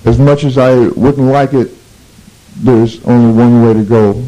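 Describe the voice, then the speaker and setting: A hoarse man with a sore throat answering haltingly and quietly at a meeting, on an old archival tape. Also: mid-range voice, steady tone, muffled recording. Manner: halting, quiet, plain-spoken.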